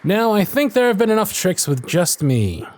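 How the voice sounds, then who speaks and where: Showy stage magician, played by a man, higher-pitched and very animated, grand theatrical phrasing, audio roleplay. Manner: grand projected patter, drawn-out dramatic phrasing. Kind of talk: ASMR roleplay stage performance.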